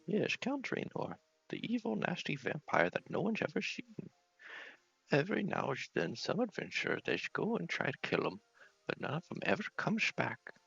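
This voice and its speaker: A boozy old doctor from a fantasy game, pitched way up and loud. He rambles in a slurred, swooping drawl, and the sound is slightly dull. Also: huge pitch swings, normal speed, gravelly, breathy edge, full character voice. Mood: rambling. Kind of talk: video game character voice.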